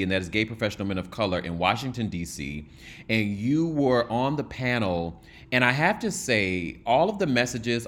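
A man's voice: fairly low pitch, fairly bright tone. A man hosting a conversational interview, speaking in an effusive, emphatic way. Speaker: talk show host, interviewer.

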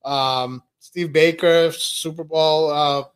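A man in his thirties, from Russia, chats easily as a podcast guest, his voice sitting a little high. He tells his story in a relaxed way and laughs often. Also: slow, clean tone. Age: 30s. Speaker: business owner guest. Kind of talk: casual interview chat.

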